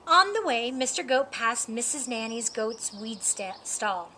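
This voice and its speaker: A woman reading a children's picture book aloud in an expressive, deliberate way. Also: a little high, slight background noise. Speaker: storybook reader.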